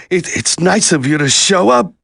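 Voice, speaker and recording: An actor playing a wounded, dying soldier in a video game, halting and strained with pain. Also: male voice, high-pitched, vocal fry, sharp tone, dry recording, clean studio sound, exaggerated voice. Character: wounded, dying soldier, desperate but dutiful. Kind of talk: melodramatic game acting.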